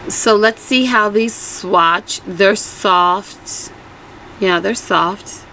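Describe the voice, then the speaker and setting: A woman's voice: medium pitch, extremely slow, sharp tone. A woman with a slightly scratchy, strained voice, chatting informally as the host of a casual makeup review vlog. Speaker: beauty vlogger host.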